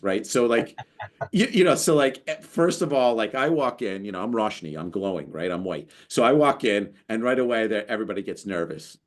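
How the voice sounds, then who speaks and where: Deep-voiced man telling a rambling story. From United States. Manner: animated, storytelling, lots of restarts. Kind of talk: casual conversation.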